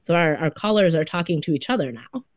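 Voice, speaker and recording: Radio host woman with a lowish voice. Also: animated, quick pace, no echo, studio quality. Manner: warm, gracious, conversational. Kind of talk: public radio talk show hosting. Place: radio studio. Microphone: studio mic.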